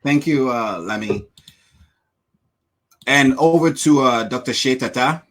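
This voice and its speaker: A man's voice: mid-range voice, unhurried. A man moderating a panel, passing the floor with brief, polite handovers.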